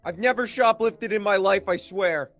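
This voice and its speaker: A man's voice: high voice, normal speed, fairly bright tone, faint music behind. A man playing a naive, dim-witted roommate in an improvised Minecraft roleplay, with simple childlike earnestness. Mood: earnest.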